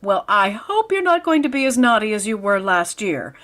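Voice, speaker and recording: An actress playing a wry, patient schoolteacher with a dry, wisecracking manner, in vintage radio comedy style. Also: female voice, medium pitch, sing-song, moderate pace, fairly bright tone. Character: wry, patient woman schoolteacher, dryly exasperated.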